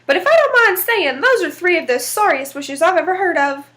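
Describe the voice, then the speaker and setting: A kindly old bearded saint speaks in a raised, lilting drawl, as a woman puts him on while reading a folktale. He chides gently. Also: very high voice, animated, brisk.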